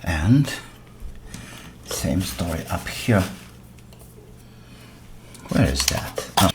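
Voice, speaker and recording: A man repairing a machine at his workbench, quietly commenting to himself in short, muttered remarks. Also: low voice, big pitch swings, background noise. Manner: slow, muttered remarks to himself between tasks. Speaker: repair channel host.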